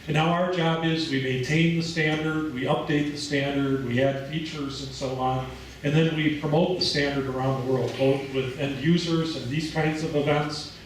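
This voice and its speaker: A man's voice: mid-range voice, slight background noise. American man presenting in an echoey hall. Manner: relaxed explanatory speaking with fillers. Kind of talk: conference presentation. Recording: echoey hall, mic at a distance.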